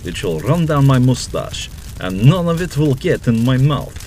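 A man's voice: mid-range voice, big pitch swings, normal speed, darkish tone. A man serving as narrator, reading scripted audio drama narration in a storytelling style. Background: music.

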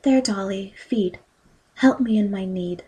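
A woman's voice: medium pitch, measured pace, warm tone, husky, low-quality recording. A woman playing an innocent young girl, the merchant's daughter in a fairy tale audio drama. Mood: plaintive.

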